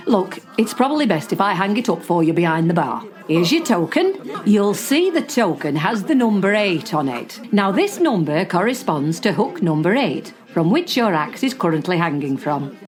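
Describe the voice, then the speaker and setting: A woman's voice: medium pitch, sing-song, moderate pace, close to an everyday voice. A woman playing a fussy, officious tavern keeper in a comedy fantasy audio drama, explaining everything in detail.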